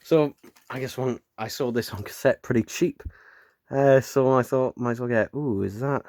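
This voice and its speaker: A British man with a croaky voice from hay fever, chatting casually as he unboxes and rambles with lots of fillers and restarts. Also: medium pitch, normal speed, darkish tone, no room sound. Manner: rambling, filler-heavy, self-correcting. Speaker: unboxing vlogger. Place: room at home with window open.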